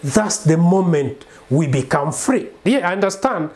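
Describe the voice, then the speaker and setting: A man's voice: fairly high pitch, animated, unhurried, a little mellow. A man in his thirties from Congo whose first language is Bembe, speaking earnestly to camera in a small home study on a lapel mic. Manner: earnest, insistent, gesturing with his hands. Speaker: preacher and singer presenting himself. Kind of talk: direct-to-camera monologue.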